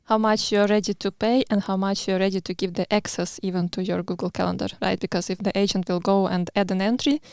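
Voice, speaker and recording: Ukrainian-accented woman, lively co-host, clean dry mic. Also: medium pitch, gravelly, clean tone, no echo. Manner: lively, quick, laughing easily. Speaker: podcast co-host. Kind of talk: casual podcast chat.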